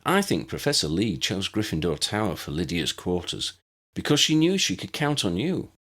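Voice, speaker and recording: A man's voice: lowish voice, sing-song, normal speed, fairly bright tone, exaggerated voice. A man voicing a helpful, reassuring older schoolgirl witch in a storybook, friendly and explanatory. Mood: tactful.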